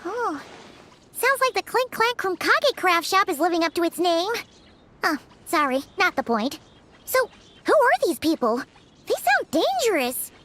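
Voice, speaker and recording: A woman voicing a tiny, childlike, excitable fairy companion in a video game, chatty and full of exclamations. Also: very high voice, measured pace, heavily acted. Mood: curious, concerned. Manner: exclaiming, chatty, easily sidetracked. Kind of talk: animated video game dialogue. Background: light music.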